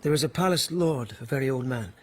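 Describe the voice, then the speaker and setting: An actor playing a weary but dogged police lieutenant in a radio period mystery, speaking hesitantly and with earnest respect. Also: male voice, medium pitch, animated, fast talker, slightly rough. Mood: deferential, uneasy.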